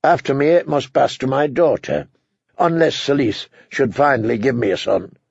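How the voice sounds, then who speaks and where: A man voicing a stern, bitter, unbending king in an audiobook, gravelly and husky, with a commanding, humorless manner. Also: medium pitch, moderate pace, dry recording, highs cut off. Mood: bitter, brooding.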